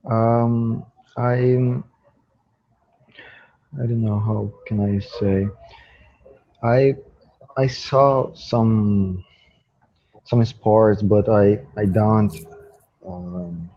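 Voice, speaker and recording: Hesitant young Brazilian man, very slow English. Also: on the low side, dull audio. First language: Portuguese. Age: young adult. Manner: hesitant, searching for words. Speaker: English student. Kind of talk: halting conversation practice.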